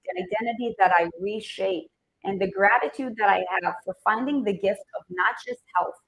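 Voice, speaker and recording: An earnest woman, a Hebrew speaker, leads a motivational livestream as a wellness coach. Her voice sits at an ordinary middle pitch, and she often says a point twice to drive it home. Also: normal speed, fairly bright tone, low-quality recording. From Israel. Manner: earnest, repeats herself for emphasis.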